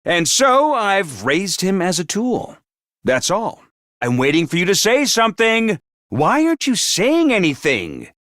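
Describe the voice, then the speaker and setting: A man voicing a cold, scheming AI that mocks feelings as useless, with a flat, dismissive manner, recorded dry in a studio. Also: high voice, a little slow, no room sound, exaggerated voice. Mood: cold mocking. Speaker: game voice actor. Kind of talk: video game voice lines.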